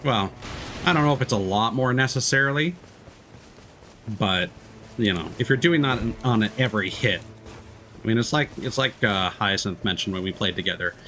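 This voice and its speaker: A man's voice: lowish voice, animated, moderate pace, long pauses, pushed and bright. A male livestreamer giving casual gameplay commentary, thinking aloud in a rambling, hesitant way. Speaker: video game livestreamer. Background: game music.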